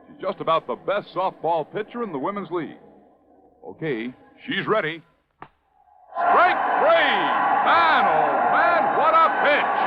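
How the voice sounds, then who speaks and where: An American man announcing a commercial in an excited 1950s radio sales style. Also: sits high. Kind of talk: excited 1950s radio ad pitch with mock sportscasting. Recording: muffled vintage radio ad recording.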